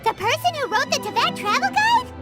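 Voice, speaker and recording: Super squeaky lil fairy kid, exclaims everything, game voice w music behind. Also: female voice, big pitch swings, brisk. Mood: startled, indignant. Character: tiny excitable childlike fairy companion, squeaky and blunt. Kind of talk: cartoonish video game dialogue.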